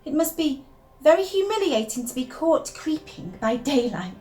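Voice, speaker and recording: A woman's voice: high-pitched, very animated, moderate pace, faint music behind. A woman playing an unravelling, obsessive young wife who confides her secrets in a theatrical, intense first-person monologue. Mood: secretive, confiding. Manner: confiding, intense, theatrical, with shifts from hushed to emphatic.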